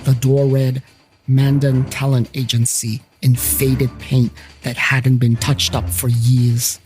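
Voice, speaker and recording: A man's voice: mid-range voice, level tone, slow pace, a little mellow, soft background music. A man narrating a scripted first-person story as the narrator of a fiction podcast.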